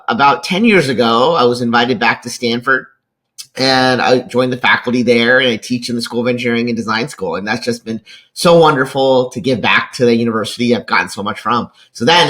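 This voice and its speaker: A man's voice: mid-range voice, brisk, sharp tone. American man in his forties, enthusiastic. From rural Kentucky, United States. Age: 40s. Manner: enthusiastic, peppers points with "right?". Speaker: podcast guest, venture capitalist and lecturer. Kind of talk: conversational interview talk.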